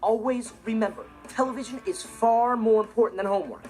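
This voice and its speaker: A man's voice: high-pitched, a little fast, over loud music, lightly acted. A young man with an American accent playing a cool, carefree, mischievous teenage brother in a sitcom, talking casually to camera. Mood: breezy. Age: teenage to young adult. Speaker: sitcom lead. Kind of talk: scripted sitcom monologue to camera.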